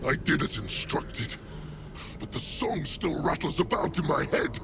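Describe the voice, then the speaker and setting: Game devil, male: menacing and bitter, drawn-out theatrical delivery with big swings from brooding to snarling, music underneath. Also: a little high, sing-song, vocal fry, heavily acted. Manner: theatrical, snarling, savoring words, sudden outbursts. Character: menacing male devil bound by a cruel contract, proud and bitter. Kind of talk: video game character acting. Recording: music behind the voice.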